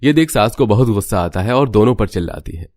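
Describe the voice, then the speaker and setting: A man narrating a cartoon family story in a steady, scripted storytelling manner. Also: lowish voice, fast.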